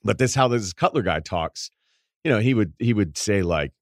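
A man with a deep voice hosting a podcast, telling rambling stories in casual chat and laughing at his own anecdotes. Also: expressive, moderate pace, dry recording, studio quality. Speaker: podcast host.

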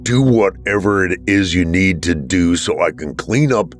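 A man's voice: sits low, a little slow, soft background music. A man voicing a weary, short-tempered father in a story. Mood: weary, pleading.

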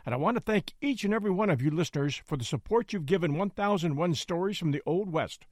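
A man gives a friendly podcast intro in a high, very lively voice that swings up and down. The recording is dry and close. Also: quick pace, no echo. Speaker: podcast host. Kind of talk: casual scripted intro.

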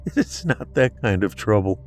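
A man voicing a heartbroken young wife whose voice is pushed up high and strained with tears. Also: expressive, a little slow, soft background music. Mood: shaken. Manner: raised pitch, strained and tearful.

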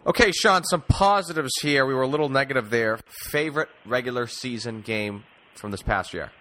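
Bright, forward male sports podcast host. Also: medium pitch, a little fast, a little hiss or hum. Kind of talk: conversational sports discussion.

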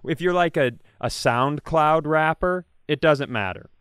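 Clear-voiced man in casual comedy chat. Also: on the high side, measured pace, a bit bright, dry recording, studio quality. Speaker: comedy podcast co-host.

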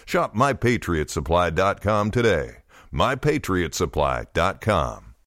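A male American announcer reads scripted ad copy with urgency and persuasion. His voice sits low but moves with very lively intonation, in a dry, close recording. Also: takes it slowly, no room sound. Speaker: advertisement announcer. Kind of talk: scripted ad read.

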